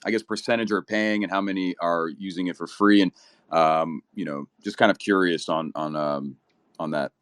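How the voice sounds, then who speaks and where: A man's voice: low-pitched, fairly even intonation, moderate pace, fairly bright tone, clean tone, no room sound, studio quality. A man born in Ukraine, a native Russian speaker, hosting a casual live audio chat in a rambling, filler-heavy, upbeat way, a little nervous. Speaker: host of a crypto talk space.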